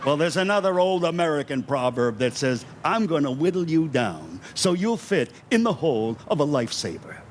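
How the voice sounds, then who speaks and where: A man's voice: a little high, very animated, moderate pace, hardly any pauses, a little hiss or hum. A man playing an exasperated, skeptical American police sergeant in an old-time radio play, blustering and repeating himself. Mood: exasperated, threatening.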